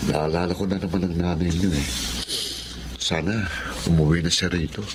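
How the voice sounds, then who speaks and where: An actor playing a gentle, sincere middle-aged family man, earnest and respectful, in a Filipino radio drama. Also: sits low, steady tone, moderate pace, noisy background, natural voice. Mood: wistful.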